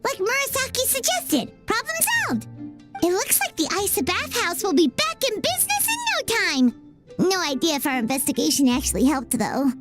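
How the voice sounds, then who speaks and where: A woman voicing a tiny, chirpy female fairy companion who talks about herself in the third person, with a high, squeaky, childlike voice, over game music. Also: wildly swooping pitch, normal speed, heavily acted. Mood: chatty. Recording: game audio with music underneath.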